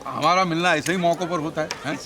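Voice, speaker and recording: A man's voice: fairly high pitch, fast talker, noisy background, natural voice. An actor playing a boisterous, scheming Punjabi father with exclamatory, grumbling delivery and a Punjabi accent in a film comedy. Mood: boisterous, complaining. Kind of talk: broad film comedy acting.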